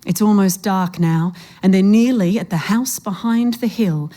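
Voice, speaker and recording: A woman's voice: mid-range voice, normal speed, warm tone. A woman narrating a suspenseful short story in a literary reading style.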